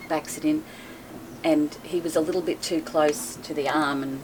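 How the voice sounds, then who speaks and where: Low-pitched woman, chatty storyteller, faint background noise. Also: normal speed. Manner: chatty, laughs often, lively retelling. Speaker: guest boat owner. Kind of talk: casual storytelling chat.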